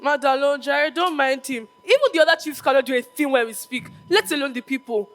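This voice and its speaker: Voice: high voice, a little fast, noisy background, natural voice. A performer with a Nigerian accent playing a proud, combative royal chief in a stage play, emphatic and exclamatory. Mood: assertive. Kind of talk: stage play acting, declamatory dialogue.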